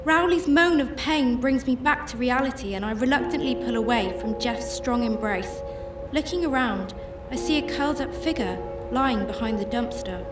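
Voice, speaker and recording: A woman performs an anxious, tender heroine telling her own story in a radio play. Her voice is a little high and earnest, set back from the mic in an echoey space. Music plays underneath. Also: normal speed, lightly acted. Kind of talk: first-person radio drama narration. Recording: echoey, distant-sounding with music bed.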